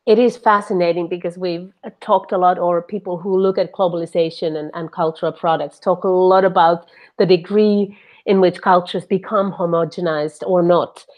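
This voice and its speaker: A woman's voice: fairly low pitch, fairly even intonation, moderate pace, darkish tone. Hesitant woman professor asking questions. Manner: searching, hesitant phrasing. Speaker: professor interviewer. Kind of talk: academic interview questions.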